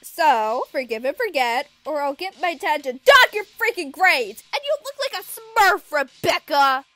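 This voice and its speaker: A brash teenage robot girl from a cartoon, voiced by a woman, high and animated. She is sassy and emphatic, with slow, dramatic delivery over music. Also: exaggerated voice. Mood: bossy. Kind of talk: animated series fan dub acting.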